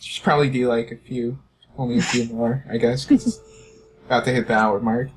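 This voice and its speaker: A man co-hosting a casual podcast, speaking in a halting, hesitant way. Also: mid-range voice, fairly even intonation. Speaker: podcast co-host. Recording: background noise on the mic.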